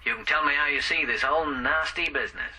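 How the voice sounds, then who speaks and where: An actor playing a brisk, admiring male police officer in a radio drama, speaking through a telephone filter. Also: a little high, pushed and bright, husky, full character voice, poor audio. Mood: brisk, impressed.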